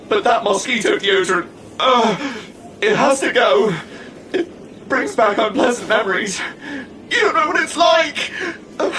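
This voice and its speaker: A woman's voice: fairly high pitch, fairly bright tone. A woman playing a neurotic, aggrieved talking supermarket door in a comedic audio drama, melodramatic and needy, whooshing before speaking. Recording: clearly noisy background.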